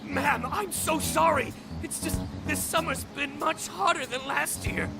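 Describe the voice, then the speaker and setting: A terrified, groveling city worker, with a very high, strained voice. He pleads and apologizes frantically. Loud game music plays under him. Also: measured pace, creaky, exaggerated voice. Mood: panicked. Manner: panicked and apologetic. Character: frightened male city gardener, groveling. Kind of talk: video game voice acting.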